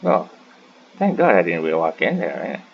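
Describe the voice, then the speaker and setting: A man's voice: sits low, very animated, normal speed, creaky, lo-fi sound, a little hiss or hum. A man giving casual, muttered playthrough commentary as he hosts a gaming video.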